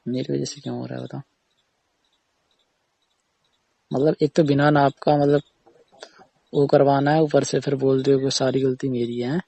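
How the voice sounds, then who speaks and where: A man's voice: medium pitch, little pitch movement, fairly quick, gravelly, warm tone, slightly rough, dry recording, poor audio. A man playing a defensive tailor who keeps explaining himself insistently to a customer in a casual phone conversation. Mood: indignant. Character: defensive male tailor arguing patiently with a customer.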